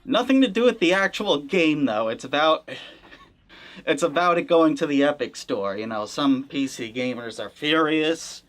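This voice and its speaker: A man's voice: fairly high pitch, expressive, normal speed, fairly bright tone. A man hosting a gaming channel, talking through a topic in a casual, wry way with plenty of hedging. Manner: conversational, wry, hedging with fillers. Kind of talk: casual commentary.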